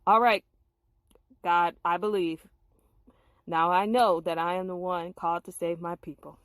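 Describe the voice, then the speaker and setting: A woman's voice: fairly low pitch, steady tone, a little slow, no echo, natural voice. A woman voicing Gideon, an anxious, reluctant male warrior, in an earnest, pleading storybook manner. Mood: resolved.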